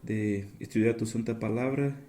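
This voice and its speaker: A man's voice: on the low side, moderate pace, warm tone. A man speaking as an invited guest on a podcast in casual conversation.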